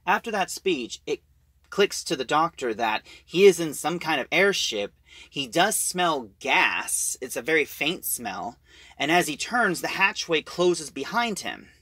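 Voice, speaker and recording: A man giving a casual, halting recap of a story as a reviewer, in an informal way. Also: fairly high pitch, big pitch swings, bright, forceful tone, slightly rough, dry recording.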